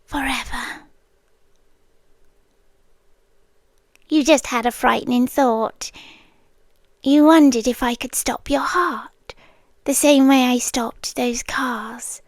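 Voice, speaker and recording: A British woman voicing a possessive, obsessive female guardian angel in an ASMR roleplay, speaking softly and often in a whisper close to the mic. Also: high-pitched, steady tone, slow, no room sound. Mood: cold, secretive. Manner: soft, intimate, often whispered.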